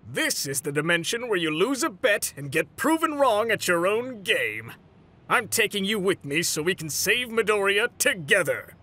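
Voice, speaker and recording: A man voicing a big, earnest, stubborn heroic mentor in a comic dub, deliberate and declamatory, over music. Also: mid-range voice, expressive, pushed and bright, slightly rough, exaggerated voice. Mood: triumphant defiance. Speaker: voice actor in a fan comic dub. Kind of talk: dramatic comic dub acting. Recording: prominent music behind the voice.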